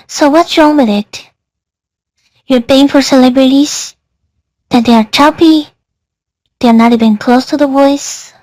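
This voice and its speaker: A woman's voice, made with an AI voice changer, demonstrates a product casually. It is very high, slow and dry. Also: a little mellow, no room sound. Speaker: software reviewer.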